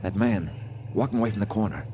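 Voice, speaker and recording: An actor playing a businesslike FBI agent, clipped and matter-of-fact, in a 1950s radio drama. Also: male voice, fairly low pitch, fast, lo-fi sound, lightly acted. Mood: urgent warning. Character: businesslike male FBI agent handling an informant. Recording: slightly noisy 1950s radio recording.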